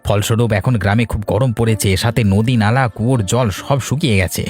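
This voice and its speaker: A man's voice: fairly low pitch, fairly even intonation, brisk, a little mellow, lightly acted. An actor playing a landlord's loyal, repentant henchman in a moral-tale cartoon, explaining things earnestly. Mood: pleading.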